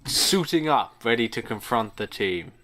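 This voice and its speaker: A man's voice: medium pitch, animated, measured pace, background noise. A man co-hosting a podcast, narrating an improvised comic story and bantering casually.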